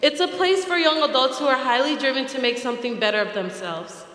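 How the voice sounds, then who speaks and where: A young woman from American Samoa giving an earnest, measured graduation speech that she reads from prepared notes. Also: high-pitched, a bit bright. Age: young adult. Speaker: graduation speaker. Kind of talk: prepared speech read aloud. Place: large event hall. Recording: echoey hall with long reverb.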